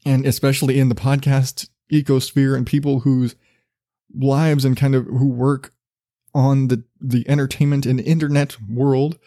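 Male podcast host, low mellow voice, casual profane rambler, dry booth sound. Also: level tone, moderate pace, no room sound. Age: not a millennial. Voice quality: relatively low voice. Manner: loose, profane, self-deprecating with many restarts.

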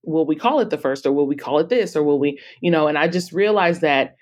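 A woman's voice: low voice, lively intonation, fairly quick, a little mellow. A woman speaking conversationally as a guest in a podcast interview, explaining her work. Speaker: podcast guest, author and editor-in-chief.

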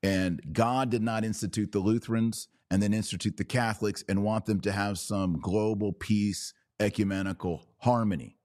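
A man hosting a religious podcast, preaching in an emphatic, declarative way with deliberate pauses and repetition. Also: lowish voice, steady tone, a little mellow, dry recording, studio quality. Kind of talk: preaching monologue.

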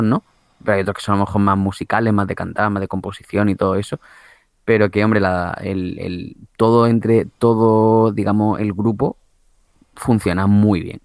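A man's voice: on the low side, darkish tone, no room sound, clean studio sound. A man from chatting casually, rambling with many fillers and restarts. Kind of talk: casual chat.

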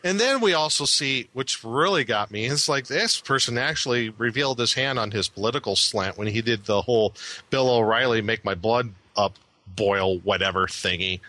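A man co-hosting a podcast, talking casually with sarcasm and halting fillers. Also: mid-range voice, pushed and bright. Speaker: podcast co-host.

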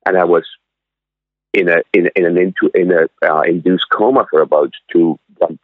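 Halting older man on a tinny phone line. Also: low-pitched, steady tone, no room sound. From Switzerland. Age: 60s. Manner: halting, many fillers and restarts. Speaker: guest, vegan restaurant owner. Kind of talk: casual interview storytelling.

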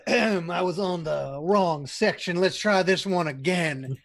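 A man's voice: high-pitched, slow pace, creaky, a bit bright, dry recording. Dry-sounding man playing a tabletop game. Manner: thinks aloud, checks rules mid-sentence. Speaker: player. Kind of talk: casual tabletop play.

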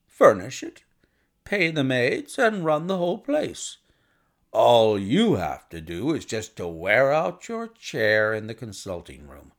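A male reader acts out a blunt, wheedling gentleman with money to invest, in storybook style. The delivery is emphatic and slowly stretched, with a gravelly creak and big swings in pitch. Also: exaggerated voice. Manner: brisk, abrupt, emphatic, drawn-out stressed words. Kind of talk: audiobook character voice.

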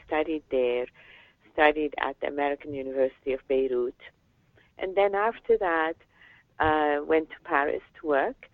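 A woman from Lebanon, an education advocate, answering interview questions in a measured way with pauses and fillers. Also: sits low, dry recording. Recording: tinny phone line, dry.

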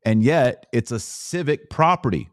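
A man's voice: mid-range voice, animated, a little slow, no room sound, clean studio sound. A man hosting a podcast, giving conversational religious commentary in short, emphatic phrases. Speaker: podcast host.

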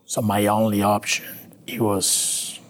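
Man, latin american spanish accent, fairly low voice, halting, restarts a lot, interview guest. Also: monotone. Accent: Spanish-speaking Latin American. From Venezuela. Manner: halting, with frequent restarts and fillers. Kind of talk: personal recovery story, conversational.

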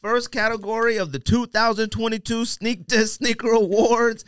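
A man's voice: very high-pitched, fairly even intonation, moderate pace, crowd noise. A man hosting a podcast, chatting casually and bantering about sports and sneakers with friends. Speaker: podcast host.

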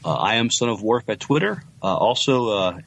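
A man co-hosting a casual sci-fi podcast in a low-key, somewhat hesitant way. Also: expressive, moderate pace, gravelly, fairly bright tone, breathy edge. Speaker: podcast co-host.

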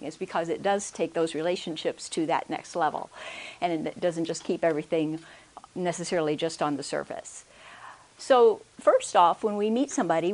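A soft-spoken woman giving a conference workshop talk into a microphone, hesitant, with many restarts and check-ins with the audience. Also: on the low side. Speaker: workshop speaker. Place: conference room. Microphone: handheld or stand microphone.

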